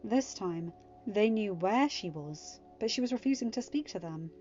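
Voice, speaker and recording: A woman reading a fan story aloud as narrator, at a steady, even pace. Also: mid-range voice, normal speed. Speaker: fanfiction audiobook reader. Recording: muffled audio with soft music.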